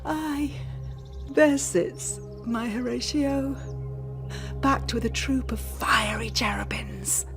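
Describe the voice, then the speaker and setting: A woman's voice: on the high side, takes it slowly, music in the background. An actress playing a middle-aged mother maddened by grief for her murdered son, speaking anguished, distracted verse in a radio tragedy. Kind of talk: Elizabethan tragedy radio acting.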